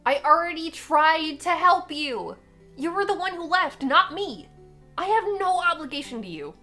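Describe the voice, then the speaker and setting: High-voiced, defiant young alien girl, acted by a woman. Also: lively intonation, normal speed, sharp tone, faint music behind, heavily acted. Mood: angry, scornful. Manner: casual, sarcastic wording. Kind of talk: fan-dub voice acting of comic dialogue.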